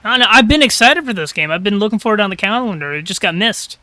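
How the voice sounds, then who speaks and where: A man calls a live game match in a high, ringing voice, talking casually and thinking out loud. His throat is a little crackly. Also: lively intonation, fast, dry recording. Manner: casual, chatty, thinking aloud. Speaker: caster.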